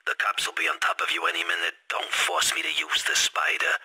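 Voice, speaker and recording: An actor playing an urgent handler barking warnings in a video game. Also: male voice, sits low, monotone, brisk, natural voice, low-quality recording. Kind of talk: dramatic video game voice acting.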